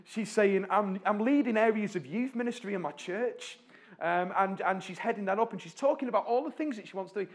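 Chatty man addressing a congregation. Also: high-pitched. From North West England. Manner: warm-hearted, chatty, asks the audience questions; fillers and restarts, pauses while slides change. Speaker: charity speaker at a church. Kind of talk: church talk to a congregation. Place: church.

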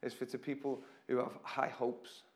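A man with a hoarse, croaky voice preaching an informal church sermon to a congregation, talking in a chatty way and often repeating his words. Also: mid-range voice, monotone, moderate pace. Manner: conversational, self-deprecating, repeats phrases.

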